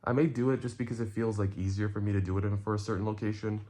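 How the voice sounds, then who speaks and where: A man speaks in a fairly low voice, addressing his online viewers directly. His delivery is casual and earnest, like an unscripted explanatory rant. He moves haltingly through his thoughts and often repeats a phrase to drive it home. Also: level tone, warm tone. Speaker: content creator and streamer.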